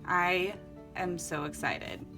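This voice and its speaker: A woman's voice: lowish voice, slow, pushed and bright, faint music behind. A young woman chatting casually and upbeat as she walks through her journal setup at home, laughing often. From Florida and Costa Rica. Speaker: vlogger. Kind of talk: casual vlog narration while journaling.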